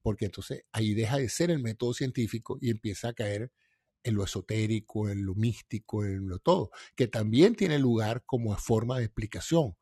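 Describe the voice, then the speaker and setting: A man's voice: lowish voice, normal speed, mellow, husky, no room sound, clean studio sound. A middle-aged man who hosts a science talk, explaining ideas patiently with everyday examples.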